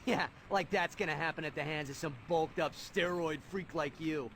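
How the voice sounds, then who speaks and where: Man playing a high-voiced, cocky young superhero. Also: normal speed, a bit bright, a little hiss or hum, close to an everyday voice. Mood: mocking. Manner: sarcastic, flippant. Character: cocky young male superhero, wisecracking, flippant and boyish.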